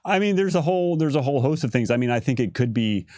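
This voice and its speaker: A man talking casually and a little haltingly as a creative director on a livestream Q&A. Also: medium pitch, lively intonation, darkish tone, no room sound, studio quality.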